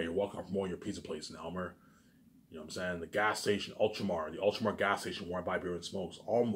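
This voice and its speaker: A man talks informally to his audience in a slightly low voice. He moves at a very quick clip, circling back on the same phrases and restarting them. His delivery is emphatic, rambling and peppered with swearing. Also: a bit bright, a little hiss or hum. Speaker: vlogger. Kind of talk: rambling informal monologue to camera.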